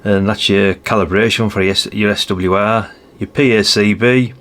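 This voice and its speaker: A man's voice: lowish voice, steady tone, normal speed, a bit bright. A British man giving a casual hands-on showcase as a video host, chatty and rambling with frequent tag questions. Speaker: host of a radio hobby channel.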